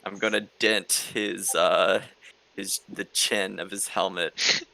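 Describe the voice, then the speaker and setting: Low, creaky-voiced man playing a tabletop game. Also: steady tone, slow, sharp tone, slight background noise, poor audio. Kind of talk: casual chat and in-game action description. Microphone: voice chat microphone, mutable. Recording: online voice chat with faint music.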